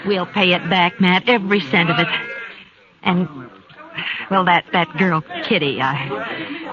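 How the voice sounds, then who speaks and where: A grateful farm wife speaks in a high, earnest, tremulous voice. This is a vintage radio western heard through a dull, muffled recording with a crowd murmuring behind. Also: male voice, animated, measured pace. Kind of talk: 1950s radio drama acting.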